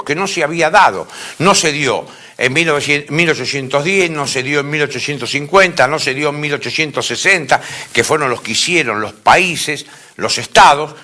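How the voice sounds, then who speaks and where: A man's voice: on the high side, sharp tone. A man with an Argentine accent giving an informal academic lecture in an emphatic way, repeating phrases for stress. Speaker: lecturer in philosophy.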